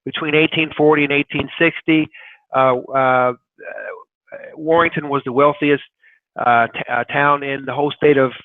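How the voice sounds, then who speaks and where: A man's voice: fairly high pitch, little pitch movement, normal speed, no room sound. A man hosting an online interview, asking curious follow-up questions and laughing along with his guest. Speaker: interview host. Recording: tinny phone-like call audio.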